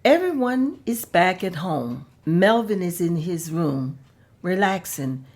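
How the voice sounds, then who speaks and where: A woman narrates the stage directions of a table read, plainly and measuredly. She speaks slowly in a somewhat low voice. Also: very animated, darkish tone.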